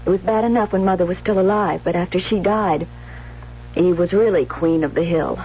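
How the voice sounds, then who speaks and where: An actress playing an emotional, resentful young bride-to-be with an American accent in a theatrical 1970s radio drama. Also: female voice, on the low side, brisk, slight background noise, close to an everyday voice. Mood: angry, accusing. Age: young adult. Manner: intense, emphatic, theatrical. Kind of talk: 1970s radio drama acting, dialogue and confessional narration. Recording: muffled old radio broadcast, faint music.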